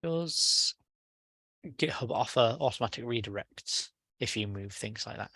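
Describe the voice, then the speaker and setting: A man's voice: medium pitch, animated, measured pace, pushed and bright, no room sound. A man chairing an online technical meeting in casual, conversational talk. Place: online conference call.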